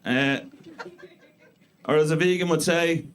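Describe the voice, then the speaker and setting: Irish stand-up in his thirties, slightly raised pitch, dry crude self-mockery. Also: unhurried, noisy background. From Ireland. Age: 30s. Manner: deadpan self-mocking delivery with crude punchlines. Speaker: stand-up comedian. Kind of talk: stand-up set. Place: comedy club stage before a live audience.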